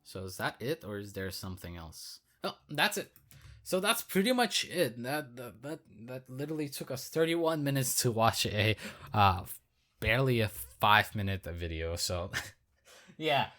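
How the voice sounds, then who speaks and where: A hoarse man who is losing his voice, giving casual, rambling commentary on a game update as a video creator. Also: medium pitch, expressive, normal speed, a bit bright. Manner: rambling, filler-heavy, repeats himself.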